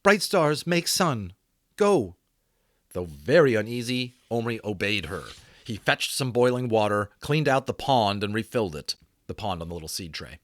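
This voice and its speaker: A man giving a dramatic audiobook reading as storyteller, with expressive, varied delivery. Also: lowish voice, moderate pace, no room sound, clean studio sound.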